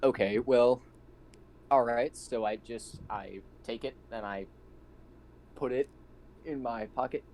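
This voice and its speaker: A man's voice: mid-range voice, takes it slowly, pauses often, a little mellow. Hesitant man gaming, slight background noise. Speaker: tabletop game player. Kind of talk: casual game chat.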